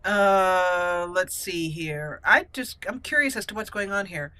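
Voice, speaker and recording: A woman's voice: fairly low pitch, measured pace, bright, forceful tone, no room sound. A woman hosting a let's play, giving roleplay commentary and slipping into regal in-character lines.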